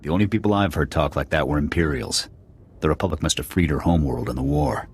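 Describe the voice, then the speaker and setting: Super deep husky soldier, sarcastic and dry. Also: male voice, big pitch swings, fast talker, heavily acted. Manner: sardonic, dry remarks. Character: wry, skeptical male soldier sidekick. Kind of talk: video game cutscene voice acting.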